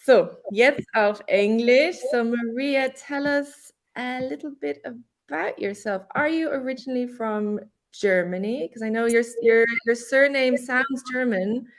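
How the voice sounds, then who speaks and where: A young woman hosting a casual online session, talking slowly and often restarting her phrases. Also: a little high. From Ireland. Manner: slow, restarts phrases, switches between German and English. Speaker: host.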